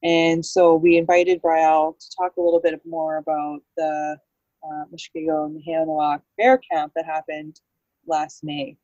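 Low, mellow Canadian woman co-host. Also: flat delivery, measured pace, dry recording, dull audio. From Manitoba, Canada. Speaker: guest co-host, community coordinator. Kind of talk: casual, unscripted introduction.

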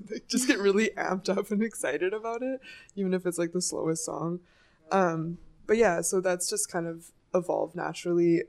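A young woman musician with a low voice, rambling and laughing a lot as she talks about writing songs. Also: normal speed, a little mellow. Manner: giggly, rambling, many "like" fillers. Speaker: singer and songwriter. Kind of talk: casual interview chat.

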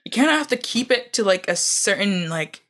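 A woman's voice: medium pitch, animated, fairly quick, a bit bright. A woman co-hosting a podcast in casual chat, rambling and hesitant, filling her speech with "like". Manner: rambling, heavy use of "like", hesitant. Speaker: podcast co-host.

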